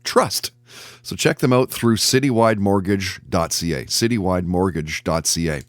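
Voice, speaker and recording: Podcast host, male. Lower register, dry studio sound, presenting segments and sponsor reads. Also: moderate pace, dry recording. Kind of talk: podcast hosting with sponsor reads and guest introductions.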